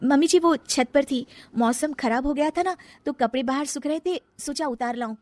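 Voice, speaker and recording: Woman acting young snake-woman bride, high voice, meek, apologetic, anxious, cartoon storytelling, dry sound. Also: moderate pace, darkish tone, no echo, lightly acted. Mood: sheepish. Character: anxious young shape-shifting serpent daughter-in-law, sweet and meek. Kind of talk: Hindi cartoon moral story.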